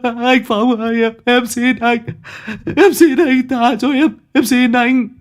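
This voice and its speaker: A man's voice: very high voice, flat delivery, slow, pitched above the performer's own voice. A man voicing a terrified young laborer who stammers and pleads for mercy. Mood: pleading.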